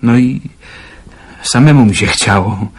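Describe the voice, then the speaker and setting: An actor playing a calm young man in love who talks earnestly and teases gently in a radio drama. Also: mid-range voice, a little slow, a little hiss or hum, close to an everyday voice.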